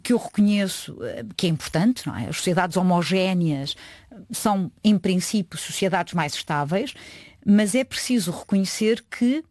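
A woman's voice: fairly low pitch, lively intonation, normal speed, a little mellow, no room sound, clean studio sound. A woman, giving earnest, emphatic interview answers with frequent hesitations. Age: 50s. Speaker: UN agency official, guest.

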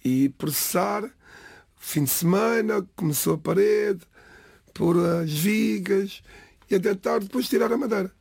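A man in his forties with a European Portuguese accent, calmly giving personal testimony in an interview, measured and deliberate, listing names slowly and restarting often. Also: high voice, gravelly, slightly rough, dry recording, studio quality. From Lisbon area, Portugal. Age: 40s.

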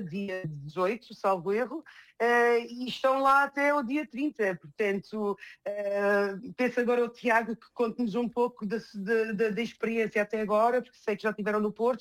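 A woman's voice: mid-range voice, steady tone, dry recording. A woman from New Jersey, an association president, speaking as an interview guest with frequent fillers. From New Jersey, United States. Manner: measured, with many fillers.

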